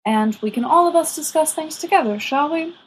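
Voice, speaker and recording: A woman's voice: high voice, expressive, slight background noise, pitched above the performer's own voice, poor audio. Woman voicing a serene, courteous old wizard headmaster. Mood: calm, benevolent. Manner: measured, formal, politely wordy. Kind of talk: audiobook character reading.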